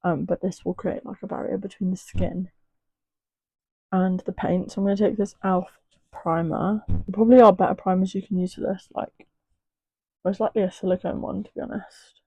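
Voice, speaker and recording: A young woman talking through her makeup in a relaxed, rambling way, her voice mellow and a little husky, as if it is starting to go. The sound is close and dry, with no room around it. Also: moderate pace, dry recording, poor audio. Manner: chatty, rambling, soft and low at times. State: voice going hoarse. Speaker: makeup artist. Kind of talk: casual makeup tutorial chat.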